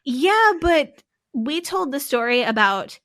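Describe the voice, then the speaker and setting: A woman's voice: sits high, very animated, slow pace, fairly bright tone. A woman co-hosting a podcast, telling a story in a casual, rambling way. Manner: storytelling, with frequent fillers and restarts. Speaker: podcast co-host.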